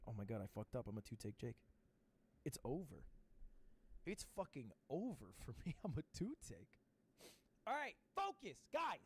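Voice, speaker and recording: A man's voice: on the high side, big pitch swings, normal speed, pauses often, husky. A man in a comedy video, reviewing games with sarcastic, swearing asides. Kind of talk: casual comedic video monologue. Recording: video played on stream with faint music bed.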